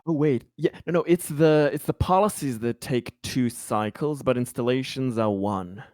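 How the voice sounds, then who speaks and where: A man's voice: medium pitch, normal speed, a little mellow, dry recording, studio quality. A man playing a tabletop game, chatting casually about the rules. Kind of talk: casual chat.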